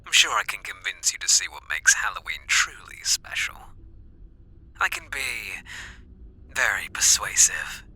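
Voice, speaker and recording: A British man playing an obsessive, seductively playful masked killer, taunting in whispery, drawn-out questions close to the mic in an ASMR roleplay. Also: deep voice, sing-song, creaky, bright, forceful tone, slightly rough, heavily acted, rough recording. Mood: playful, menacing. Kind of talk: ASMR roleplay, intimate monologue. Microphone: close microphone.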